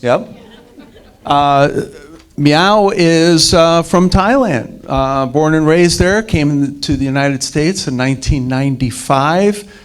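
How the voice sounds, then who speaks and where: A man's voice: a little high, slow pace, smooth. A man hosting a community event, introducing a guest speaker in a hesitant way, with frequent fillers. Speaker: event host and moderator.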